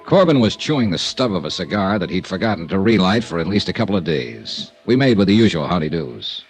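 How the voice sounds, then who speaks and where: An actor playing a wry, wisecracking freelance insurance investigator who also narrates, in a 1950s radio drama. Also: male voice, low-pitched, very animated, fairly quick. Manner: wry, joking, laid-back narration. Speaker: lead and narrator.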